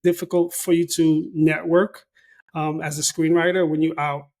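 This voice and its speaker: A man with a slightly higher voice runs a casual interview about screenwriting. He stops and starts often, padding his questions with "uh" and "you know.". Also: little pitch movement, moderate pace, a little mellow. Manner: hesitant, many "uh" and "you know" fillers. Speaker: podcast host.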